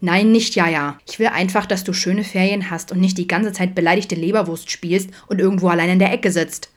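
A woman's voice: fairly low pitch, steady tone, a little fast, close to an everyday voice. A woman voicing a tired, patient but exasperated father in an audiobook, coaxing and admonishing.

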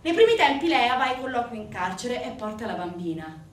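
A woman performing a theatrical narrative monologue, emphatic, with deliberate pauses and swings between hushed and forceful delivery. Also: fairly high pitch, sing-song. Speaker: stage actress and narrator. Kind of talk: dramatic theatrical monologue storytelling. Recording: distant, echoey room recording with faint noise.

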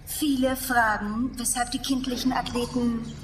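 An actress playing a persistent journalist in a German radio drama, insistent and questioning. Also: female voice, fairly high pitch, fairly even intonation, a little slow, noisy background, natural voice. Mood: probing. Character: persistent, probing female journalist. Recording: roomy, music underneath.

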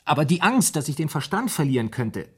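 An actor in a 1970s German radio play, playing a troubled, brooding man confessing his fear of madness in halting, dramatic storytelling. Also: fairly high pitch, sing-song, a bit bright, husky, heavily acted. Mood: anguished, defensive.

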